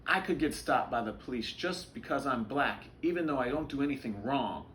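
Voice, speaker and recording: A man's voice: medium pitch, lively intonation, fairly quick, fairly bright tone, slight background noise, pitched above the performer's own voice, natural voice. A man voicing a blunt, frustrated older brother in a picture book, speaking matter-of-factly.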